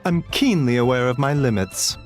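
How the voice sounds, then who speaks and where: An actor playing a gentle, modest doctor in a video game, speaking in a polite, formal, measured manner. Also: male voice, medium pitch, animated, faint music behind, natural voice. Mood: grateful, reassuring. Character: gentle, courteous male doctor, composed and modest.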